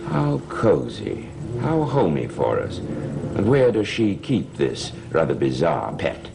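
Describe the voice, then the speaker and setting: A man's voice: a bass voice, huge pitch swings, slow pace, gravelly, noisy background, heavily acted. An actor playing a suspicious, sardonic stepfather with formal, drily sarcastic speech in a gothic soap opera. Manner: dry sarcasm, formal wording. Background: music.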